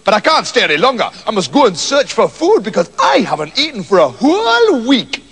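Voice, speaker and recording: A man's voice: sits high, wildly swooping pitch, a little fast, a bit bright, husky, big-room sound, full character voice. A man voicing a boastful, rude, cheerfully violent male hero with a cocky, bossy way of talking, in a storybook reading.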